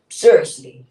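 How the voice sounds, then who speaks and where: A woman's voice: dark tone, distant mic. A woman in her fifties talking bluntly and casually on a livestream, swearing freely and laughing often. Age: 50s. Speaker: livestream host. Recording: roomy sound, speaking away from the mic.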